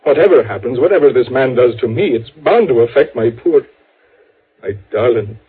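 Husky, anxious 1950s radio businessman. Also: mid-range voice, animated, normal speed. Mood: formal, troubled. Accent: American. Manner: grave, formal, urgent. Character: anxious, earnest businessman, one of identical twin brothers. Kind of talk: 1950s radio drama acting. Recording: very muffled, fuzzy 1950s radio recording.

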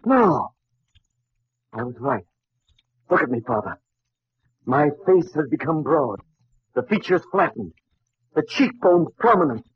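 A man's voice: fairly high pitch, very animated, unhurried, long pauses, husky, no room sound, exaggerated voice. An actor playing a driven young male scientist in an old radio drama, intense and melodramatic. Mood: alarmed. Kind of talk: 1940s radio acting. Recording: muffled, dry old radio recording.

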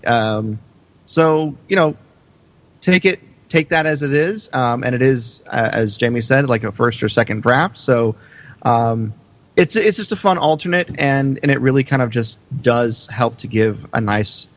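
A man co-hosting a podcast in a casual, halting way, repeating phrases for emphasis. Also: mid-range voice, no room sound. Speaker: podcast co-host.

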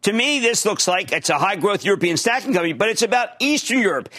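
An older man with a Philadelphia accent, hosting a TV interview in an excitable, emphatic way that swings between high and low. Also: fast. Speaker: TV host.

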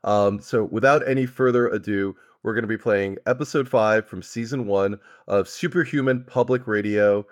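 A man's voice: fairly low pitch, normal speed, few pauses. A man hosting a podcast interview in a casual, conversational style. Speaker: podcast host.

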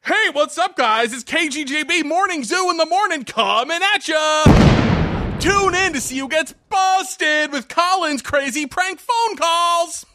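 A performer playing a zany morning zoo radio DJ in a comedy skit, shouting rapid-fire patter. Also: very high-pitched, animated, heavy background noise. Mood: hyped. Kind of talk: over-the-top morning radio intro.

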